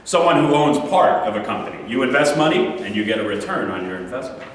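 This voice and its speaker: An actor reading a smooth-talking real estate dealer of about forty, hesitant and full of fillers. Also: male voice, big pitch swings, fast, a little hiss or hum, lightly acted. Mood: reassuring. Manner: hesitant, filler-laden salesman pitch. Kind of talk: staged reading. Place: large rehearsal studio. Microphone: far camera. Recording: echoey studio from far off.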